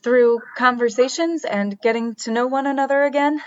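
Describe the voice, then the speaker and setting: A woman's voice: high-pitched, steady tone, normal speed, close to an everyday voice. Woman playing formal, literal artificial being, slightly dull audio. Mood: polite, curious. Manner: formal, literal, uncontracted phrasing. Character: artificially created female being, earnest, formal, emotionally detached. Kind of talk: audio drama acting.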